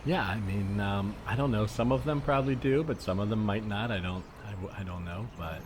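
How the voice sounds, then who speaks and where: Guy improvising an earnest hospital worker, lowish voice, kinda halting and mild, bit of outdoor noise. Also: close to an everyday voice. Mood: earnest, defensive. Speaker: improv comedian on a podcast. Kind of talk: improvised comedy scene, naturalistic casual chat. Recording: background noise of outdoor soundscape. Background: added outdoor ambience soundscape.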